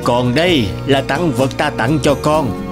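An actor voicing an old, benevolent mountain god in an animated folk tale, speaking solemnly. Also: male voice, medium pitch, unhurried, over music, close to an everyday voice.

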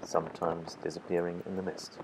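A man giving calm, scripted voice-over narration for a travel video. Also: low-pitched, fairly quick, noisy background.

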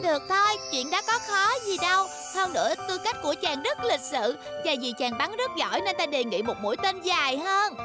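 Casting: young noble girl, cartoon dub, very high, girlish, theatrical, strong music bed. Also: expressive, fairly quick, hardly any pauses. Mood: pleased, gracious.

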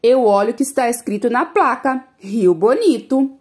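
A woman voicing a calm, patient mother who explains things to her son in a storybook. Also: a little high, normal speed, natural voice.

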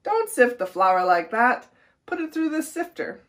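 A woman's voice: a little high, sing-song, measured pace, a bit bright. A woman voicing an eager, bossy rooster who dreams of cooking, in a storybook reading. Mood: bossy, correcting.

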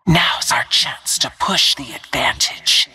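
An actress playing a cold, ruthless female assassin in a video game, speaking in a breathy, menacing, self-assured way with clipped phrases. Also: rumbling low, slow pace, pushed and bright, heavily acted. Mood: brisk, businesslike. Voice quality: breathy, whispery. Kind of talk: video game character voice lines.

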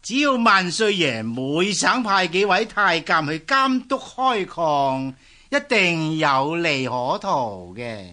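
A man's voice: fairly high pitch, slow pace, heavily acted. A man with a Hong Kong Cantonese accent playing a scheming, obsequious palace eunuch in a historical radio drama. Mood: fawning, coaxing. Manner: obsequious, flattering. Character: scheming, fawning male palace eunuch serving the emperor.

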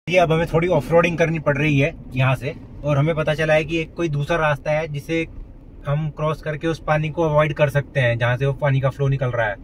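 A man's voice: a little high, darkish tone, a little hiss or hum, poor audio. A man narrating a vlog as he drives, chatty and pointing things out to viewers, mixing English phrases into his talk. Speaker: vlogger. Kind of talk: casual narration while driving. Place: inside a car.

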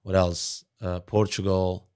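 A man's voice: deep voice, little pitch movement, darkish tone, clean tone. A man in his thirties from Italy, speaking English as an upbeat podcast guest in a studio. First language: Italian. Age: 30s. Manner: upbeat, laughs easily, quick answers. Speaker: guest, network marketer. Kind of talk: casual interview chat. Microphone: studio mic on boom arm.

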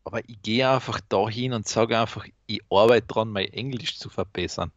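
A man's voice: lowish voice, normal speed, dry recording, highs cut off. A man with an Austrian accent chatting casually as a podcast co-host, explaining things in colloquial speech. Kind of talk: casual chat.

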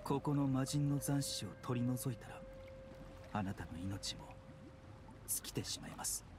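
A male actor plays a refined young physician in an anime game. His mid-pitched voice is composed, courteous and gently grave, with music clearly heard behind him. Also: natural voice. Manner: polite, measured, clinical. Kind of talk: Japanese anime game acting. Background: background music.

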